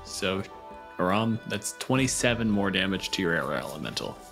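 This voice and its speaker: A man's voice: deep voice, animated, normal speed, vocal fry, a bit bright, soft background music. A man acting as game master in a tabletop roleplaying game, talking casually with some swearing.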